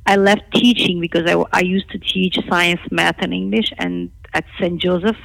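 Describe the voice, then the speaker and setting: A middle-aged woman from Lebanon, a native Armenian speaker, talking candidly and emphatically about her own life as an interview guest, with a creaky voice. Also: lowish voice, steady tone, normal speed, a bit bright, low-quality recording. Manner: outspoken, emphatic.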